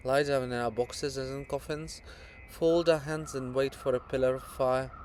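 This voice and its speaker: A man's voice: medium pitch, steady tone, moderate pace, a little mellow, close to an everyday voice. Guy doing a sly poet monument in a stage farce, grand emphatic talk, coaxing, slight background noise. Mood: urgent, fervent. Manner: theatrical, emphatic, swooping.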